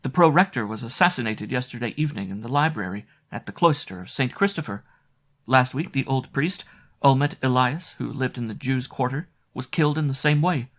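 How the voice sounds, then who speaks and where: A man's voice: medium pitch, expressive, a little fast, voice pushed up. A man voicing a tender, anxious young inn maid in a storybook reading. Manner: soft, urgent, breathless warnings.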